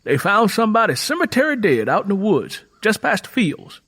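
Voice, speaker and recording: A grim Southern field laborer acted by a man in an audiobook. He is agitated and bitter, speaking emphatically in a raised, husky pitch. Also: very animated, brisk, a bit bright, full character voice. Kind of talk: audiobook character voice.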